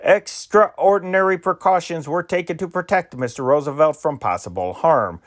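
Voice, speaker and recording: A man's voice: fairly high pitch, moderate pace, fairly bright tone. A man reading old newspaper news dispatches aloud in an amateur style, with occasional slips and restarts. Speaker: reader of historic news dispatches.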